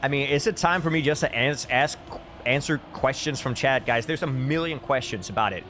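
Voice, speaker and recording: A man's voice: mid-range voice, fairly quick, pushed and bright, a little hiss or hum. A man, chatting casually and commentating as a live esports caster, with dry, tongue-in-cheek humour. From Denmark. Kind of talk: casual live stream chat with game commentary. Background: music.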